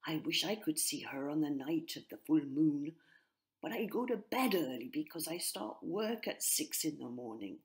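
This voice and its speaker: A woman voicing a kind, thoughtful male gardener in a children's storybook, gentle and reflective. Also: deep voice, animated, normal speed. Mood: wistful. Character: kind, thoughtful working man, a park gardener. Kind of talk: children's storybook character reading.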